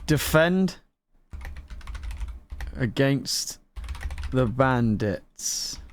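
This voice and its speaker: Excitable gamer, voice swinging up and down. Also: mid-range voice, drawn-out words, slight background noise. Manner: excitable, reacting with exclamations and musing aloud. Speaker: gaming host trying out a game. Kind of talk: casual gameplay commentary.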